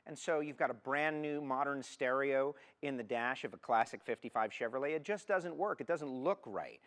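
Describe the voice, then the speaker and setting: A man from Miami co-hosting a car show, talking casually and colloquially with clear opinions about products. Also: mid-range voice, lively intonation, moderate pace, a bit bright. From Miami, United States.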